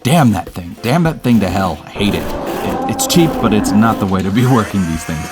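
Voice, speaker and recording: A man's voice: lowish voice, lively intonation, moderate pace, over loud music. A man hosting a do-it-yourself video with casual, wry, self-deprecating voice-over narration.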